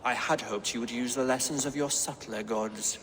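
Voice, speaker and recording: An actor playing a learned, pious and weary king in a video game cutscene, speaking in a measured, formal, scholarly way. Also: medium pitch, steady tone, fairly bright tone, close to an everyday voice. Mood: stern. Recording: long reverb, clear ambient noise.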